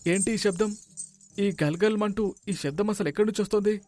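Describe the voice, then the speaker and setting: A man's voice: high voice, fairly quick, a little mellow, no room sound, faint music behind, voice pushed up, natural voice. A man voicing a frightened, sleepless thief in a cartoon moral story, complaining in an agitated way. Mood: frightened, bewildered. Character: frightened, grumbling male houseguest thief, rattled and sleepless.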